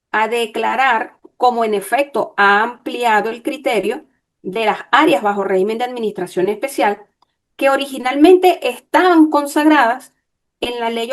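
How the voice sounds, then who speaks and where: A woman lawyer giving an online workshop, explaining in a measured way and checking in with her listeners. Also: fairly high pitch.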